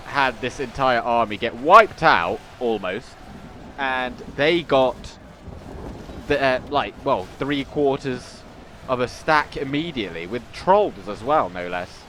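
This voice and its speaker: A man's voice: medium pitch, unhurried, pushed and bright, a little hiss or hum. A young man with a cold and a bunged-up nose, chatting casually as he plays a strategy game and rambling with frequent self-corrections. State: congested with a cold. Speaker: gaming video host.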